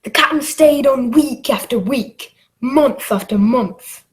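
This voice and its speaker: A woman narrating a radio drama as an earnest grown man recalling his boyhood adventure, in measured, dramatic storytelling. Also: sits high. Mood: foreboding.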